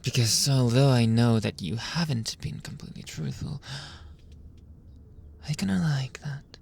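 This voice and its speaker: Man acting out a husky, whispering, seductive mafia boss. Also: medium pitch, a little mellow. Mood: possessive, seductive. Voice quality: breathy, husky, often dropping into whisper.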